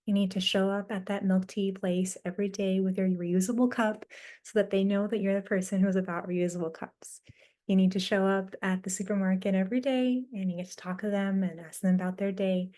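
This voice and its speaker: A woman with a mellow, warm voice answers a panel question on a webinar. She speaks in an earnest, measured and motivational way. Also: mid-range voice, fairly even intonation. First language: not Mandarin. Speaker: explorer panelist.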